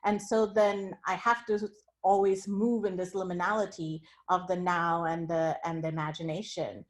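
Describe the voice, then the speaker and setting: Reflective woman from India, soft-spoken. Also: lowish voice, steady tone, normal speed. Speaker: keynote speaker. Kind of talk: academic talk sharing her screen. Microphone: video call.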